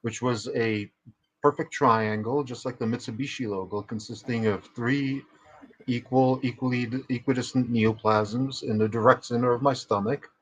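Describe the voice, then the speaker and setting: A man's voice: mid-range voice, fairly even intonation, moderate pace, slight background noise, rough recording. A man in his fifties with a Czech accent, co-hosting a livestream and telling his story in a precise, methodical way. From Czech Republic. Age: 50s. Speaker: co-host. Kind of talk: livestream conversation.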